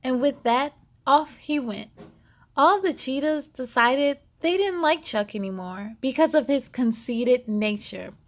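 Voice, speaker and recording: A woman hosting children's story time, reading aloud and talking to young listeners in a deliberate, clearly phrased way. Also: high voice, expressive. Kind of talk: animated children's read-aloud narration.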